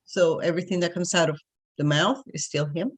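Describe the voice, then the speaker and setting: A woman's voice: lowish voice, brisk, slightly rough. A woman with a Puerto Rican accent, speaking as a spiritual coach in an unscripted talk. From Puerto Rico.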